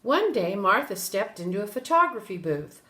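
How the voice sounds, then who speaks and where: An older woman reading a children's story aloud in an expressive way, with brief asides. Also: mid-range voice, moderate pace, background noise. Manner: expressive, animated. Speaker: storybook reader. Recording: roomy echo.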